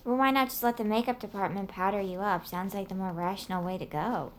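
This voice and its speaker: A woman's voice: mid-range voice, fairly even intonation, fast, a little hiss or hum, lightly acted. A woman playing a nervous, sensible young woman in an audio drama, talking in an awkward, hesitant, conversational way. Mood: awkward, uneasy. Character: anxious, suspicious, sensible young woman in peril. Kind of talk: naturalistic audio drama acting.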